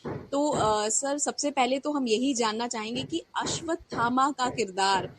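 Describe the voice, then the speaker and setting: A woman with a fairly high voice co-hosts a live literary conversation. She speaks as an interviewer addressing listeners and guest, pausing now and then mid-sentence as she goes. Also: background noise. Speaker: co-host interviewing an author.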